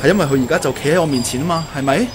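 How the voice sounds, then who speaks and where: A man's voice: on the high side, very fast, background noise, close to an everyday voice. Man acting an earnest young Hong Kong man. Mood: hurt, accusing. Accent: Hong Kong Cantonese. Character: earnest, regretful young man confronting his love. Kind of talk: Cantonese radio drama acting.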